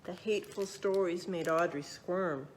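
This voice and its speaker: A woman's voice: fairly low pitch, unhurried, a little hiss or hum, rough recording. A woman reading a picture book aloud expressively, adding explanatory asides and questions for young listeners. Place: museum exhibit space.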